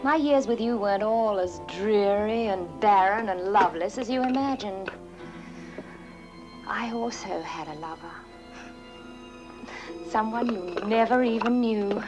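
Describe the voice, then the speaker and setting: Actress playing bitter aristocratic widow, commanding, unhurried delivery, theatrical film acting, music underneath. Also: female voice, medium pitch, muffled recording, natural voice. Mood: bitter, mocking. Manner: haughty, deliberate. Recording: old film soundtrack with music behind.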